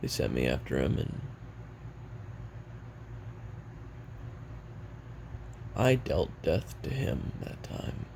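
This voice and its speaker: A man performs a brooding hitman confessing on tape in an audio drama. His voice is extremely low and heavily fried, halting and weary with regret, yet it moves up and down a good deal as he recounts his past. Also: sing-song, a little mellow, a little hiss or hum, exaggerated voice. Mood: regretful, resigned. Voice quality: gravelly, creaky. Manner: halting, brooding, casually profane. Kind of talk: audio roleplay monologue, taped confession.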